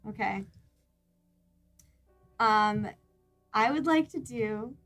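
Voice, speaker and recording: A woman's voice: fairly high pitch, drawn-out words, fairly bright tone. Casual woman improvising a story, music behind. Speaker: roleplay game player.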